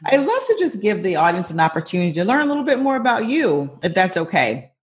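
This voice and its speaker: A woman's voice: medium pitch, big pitch swings, fast. A woman hosting an interview show, upbeat and affirming as she introduces and questions her guest. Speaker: host. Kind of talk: podcast interview.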